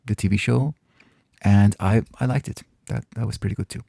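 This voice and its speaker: Man, Farsi native speaker, gravelly, dark mellow tone, dry close recording, pauses and restarts. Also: fairly low pitch, no room sound. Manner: thoughtful, pausing often and restarting phrases. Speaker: channel host answering viewer questions. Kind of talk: casual reflective Q&A monologue.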